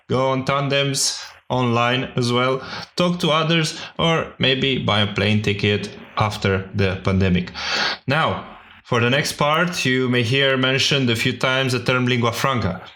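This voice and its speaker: A man hosting a podcast, reading scripted narration smoothly and giving advice straight to the listeners. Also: medium pitch, bright, forceful tone. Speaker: podcast host.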